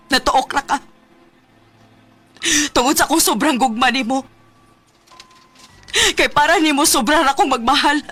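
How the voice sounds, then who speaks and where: High-pitched heartbroken young woman. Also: fairly bright tone. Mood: bitter, resolute. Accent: Cebuano. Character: heartbroken young woman, wounded and pleading in love. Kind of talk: Cebuano radio drama acting. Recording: music bed behind the voice.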